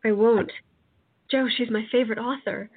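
A woman's voice: fairly high pitch, fairly even intonation, normal speed, husky, phone-quality sound. A woman acting an eager, earnest heroine in a time-travel radio play.

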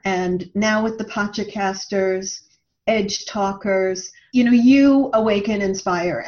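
A woman speaking as a guest author in a conversational interview, earnest and expressive. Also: medium pitch, lo-fi sound.

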